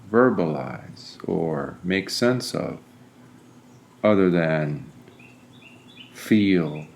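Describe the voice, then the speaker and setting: Male meditation guide: unhurried, very slow delivery with long pauses, lowish pitch, some background noise. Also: darkish tone. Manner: deliberate, soothing, frequent pauses between phrases. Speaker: meditation guide, Buddhist monk.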